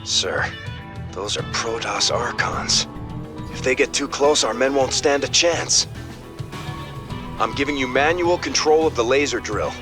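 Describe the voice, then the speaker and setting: A man's voice: medium pitch, unhurried, long pauses, music in the background, lightly acted. An actor playing an earnest military officer in a video game, reporting to his commander in a formal, businesslike manner. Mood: concerned.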